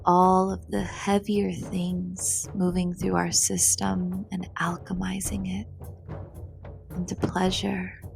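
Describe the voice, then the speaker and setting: A woman leading a guided meditation in a soothing, deliberate, breathy voice, pausing between short phrases. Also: fairly low pitch, darkish tone. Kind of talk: guided meditation narration, slow and breathy with whispered phrase endings. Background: meditation music.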